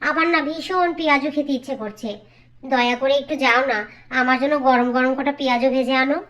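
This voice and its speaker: A woman's voice: high-pitched, fairly even intonation, a little fast, a bit bright. A woman voicing a spoiled, scheming young sister-in-law in a cartoon, demanding and whiny. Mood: wheedling. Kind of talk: Bengali cartoon acting.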